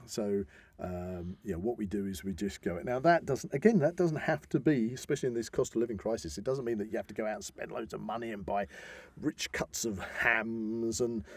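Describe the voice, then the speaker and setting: A man's voice: on the low side, brisk, few pauses, husky, no echo. A middle-aged man with a British accent, talking casually and emphatically as a podcast co-host. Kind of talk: casual conversational podcast talk.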